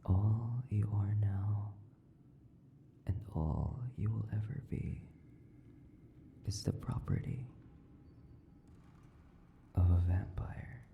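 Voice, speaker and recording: Man voicing a slow, gravelly, sadistic vampire. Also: on the low side, narrow, tinny audio, slight background noise, exaggerated voice. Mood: menacing, hungry. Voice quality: gravelly, breathy, often dropping to a whisper. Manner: slow, theatrical, savoring, condescending. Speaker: audio roleplay voice actor. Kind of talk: horror audio roleplay monologue.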